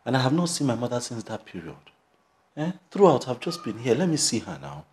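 A man playing a devoted, earnest grown son in a melodrama, speaking in halting, repetitive phrases. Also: medium pitch, sing-song, a little mellow, natural voice. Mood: hesitant, pleading. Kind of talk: melodramatic screen acting. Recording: clear background noise, room ambience.

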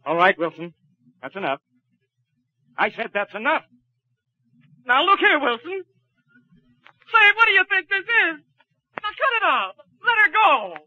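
An actor playing an indignant, jealous suitor in a 1940s radio comedy. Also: male voice, very high-pitched, big pitch swings, unhurried, pauses often, sharp tone, no echo, full character voice. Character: indignant, jealous suitor of another man's wife. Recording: muffled old 1940s radio recording.

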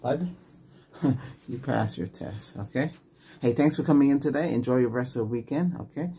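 A man's voice: mid-range voice, moderate pace, mellow, slight background noise. A middle-aged man improvising an ASMR doctor roleplay, giving short, simple questions and instructions as in a medical exam. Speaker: ASMR role-player acting as ER doctor. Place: small home room set up as clinic.

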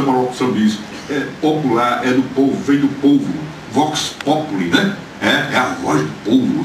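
A man's voice: mid-range voice, far from the mic. An older man on a panel speaks in a playful, joking, folksy way into a handheld mic in a large auditorium. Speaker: lecturer on a panel. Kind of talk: lecture and Q&A. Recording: echoey hall, taped from afar, noisy.

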